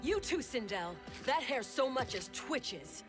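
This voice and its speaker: An actress playing a bold, guarded young soldier daughter in a video game cutscene, speaking bluntly and informally. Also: female voice, fairly high pitch, sing-song, measured pace, music in the background. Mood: threatening.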